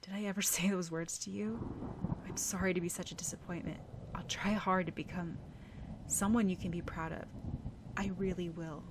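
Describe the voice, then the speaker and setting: A woman's voice: lowish voice, steady tone, normal speed, lightly acted. A woman voicing a playful, affectionate and earnest teenage boy in an audiobook story, with noise behind her. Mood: tender, earnest.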